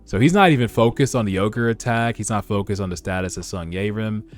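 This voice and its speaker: Low-voiced man giving casual commentary over music. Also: a little fast. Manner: informal, slangy, conversational asides. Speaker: host of a webtoon read-along. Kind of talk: casual reaction commentary mixed with narrated reading. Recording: music under the voice. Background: background music.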